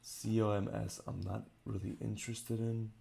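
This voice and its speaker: A young man with a raspy voice, thinking aloud in low-key, casual commentary while streaming his day trading live. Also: flat delivery, normal speed, dark tone. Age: young adult.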